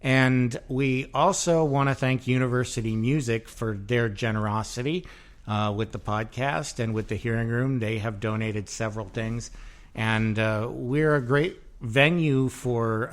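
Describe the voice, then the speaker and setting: A man's voice: mid-range voice, a little slow. A man hosting a podcast, chatting loosely and rambling with many fillers while he promotes his venue. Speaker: podcast host. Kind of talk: casual chat, promoting the venue.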